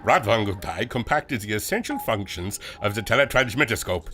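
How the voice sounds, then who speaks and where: A man's voice: fairly low pitch, expressive, brisk, pushed and bright, faint music behind. A man playing an earnest, proud and polite scientist-inventor with a German accent, in old radio serial style. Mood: proud explaining. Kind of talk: 1940s radio serial acting.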